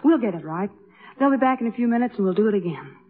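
A woman's voice: mid-range voice, lively intonation, quick pace, lightly acted. A woman playing an emotional, intense character in a 1950s radio drama, speaking in short, dramatic, urgent phrases. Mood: anxious pleading. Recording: tinny 1950s radio recording.

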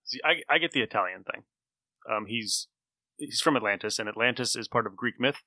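A man's voice: fairly low pitch, lively intonation, a little fast, sharp tone, no echo. A man hosting a podcast in casual, conversational discussion. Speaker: podcast host.

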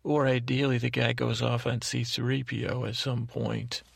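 Very gravelly, meandering male sleep podcaster. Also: medium pitch, flat delivery, dry recording. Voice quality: gravelly, creaky. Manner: meandering, halting, deliberately dull drone; whimsical, self-deprecating. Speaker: podcast host. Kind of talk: rambling bedtime monologue.

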